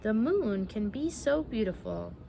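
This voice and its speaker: A woman doing a shy, gentle giraffe. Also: fairly high pitch, sing-song, unhurried, creaky, a little hiss or hum, full character voice. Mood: sad. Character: shy young male giraffe, gentle and hopeful.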